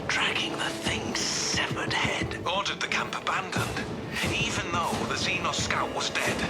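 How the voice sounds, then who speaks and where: An actor playing a grim, war-weary soldier telling a battlefield tale in a video game, hushed, terse and dramatic, over loud music. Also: male voice, medium pitch.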